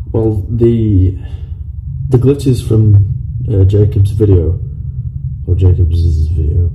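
An actor playing an uneasy technician on a phone call in a horror film, speaking in a halting, naturalistic way. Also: male voice, fairly low pitch, warm tone. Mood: confused. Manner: hesitant, halting, casual swearing. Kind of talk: naturalistic found-footage horror acting. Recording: muffled, roomy, distant, faint noise.

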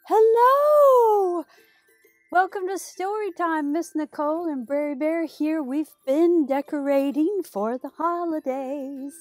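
A middle-aged woman hosting storytime for small children, with an exaggerated, sing-song delivery as she talks and reads aloud. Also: high-pitched. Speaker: children's library storytime host. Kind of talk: children's storytime, talking to young viewers and reading aloud. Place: small decorated indoor storytime set.

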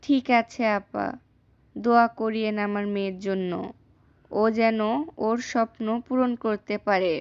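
A woman's voice: mid-range voice, steady tone, measured pace, clear, resonant tone, no room sound, dull audio, close to an everyday voice. A woman playing a loving, worried mother from a poor village in a cartoon. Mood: humble, warm. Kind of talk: acted cartoon dialogue.

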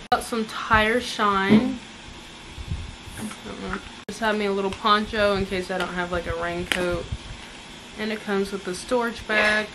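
A young woman talks easily through her purchases, pitch right in the middle of the usual range. She sits on a living-room couch in front of her camera. Steady background noise runs under the recording. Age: young adult. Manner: chatty, relaxed, lists items with asides. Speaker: vlogger. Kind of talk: casual haul vlog.

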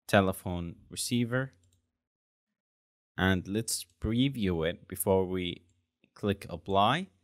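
A man in his twenties narrating a software tutorial as its host, explaining step by step. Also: low voice, no room sound, studio quality. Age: 20s.

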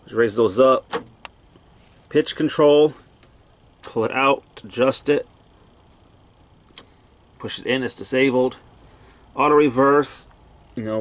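A man giving a casual hands-on equipment walkthrough in short, clipped, matter-of-fact phrases, recorded indoors on a handheld camera. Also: mid-range voice. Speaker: gadget demonstrator. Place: indoors, cluttered home room.

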